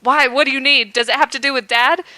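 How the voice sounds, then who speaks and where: A mild, reluctant male sheepdog who hesitates and slips barks into his speech, voiced by a woman. The tone is bright and a little high, recorded dry and close. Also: steady tone, fast, no echo. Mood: hesitant.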